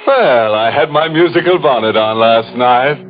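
An actor playing a macabre, gleefully ghoulish horror host in 1940s radio style, with a sardonic, punning, mock-genial manner. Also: male voice, medium pitch, lively intonation, normal speed, natural voice. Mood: ghoulishly genial. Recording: muffled, tinny old radio broadcast with music. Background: music.

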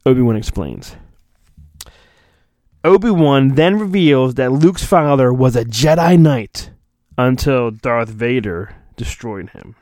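A man's voice: mid-range voice, animated, slow, darkish tone, dry recording, clean studio sound. A man hosting a podcast, reading a storybook in a theatrical, mock-dramatic way and breaking off into rambling, sarcastic asides. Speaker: podcast host.

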